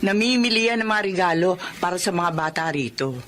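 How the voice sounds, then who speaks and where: Folksy, gossipy neighbor lady; bright lively voice, faint hiss behind. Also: on the low side, brisk. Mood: chatty. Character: chatty middle-aged provincial neighbor woman, hospitable. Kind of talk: radio drama acting.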